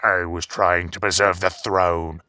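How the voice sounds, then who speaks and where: A man's voice: deep voice, flat delivery, measured pace, bright, forceful tone, dry recording, studio quality. A man playing an old, indignant and fearful king in a fantasy audio drama, faltering as he clings to his authority. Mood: desperate protest.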